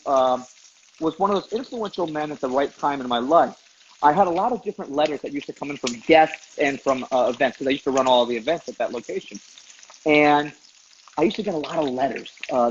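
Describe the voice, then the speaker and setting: A man, a professional chef, chatting casually and reflectively as an interview guest while he cooks. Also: on the high side. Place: kitchen, cooking on a livestream. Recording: dull, noisy video call, sizzling pan. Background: food frying and sizzling.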